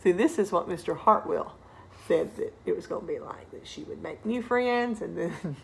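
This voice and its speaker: A woman's voice: lowish voice, lively intonation, moderate pace, gravelly, slight background noise. A woman storyteller reading a picture book expressively to children, engaging listeners with rhetorical questions and asides. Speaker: read-aloud storyteller.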